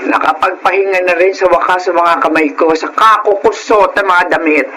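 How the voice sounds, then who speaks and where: A woman's voice: lowish voice, brisk, pushed and bright. A woman playing an ageing laundrywoman mother in a Filipino radio drama, grateful, earthy and full of fond exclamations. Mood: grateful, moved. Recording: noisy radio drama track.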